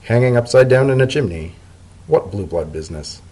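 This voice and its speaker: A man's voice: medium pitch, animated, fairly quick, dark tone, natural voice. A man playing a weary, grumpy male pony bureaucrat with a dry, exasperated manner in a storybook reading. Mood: sheepish, dry.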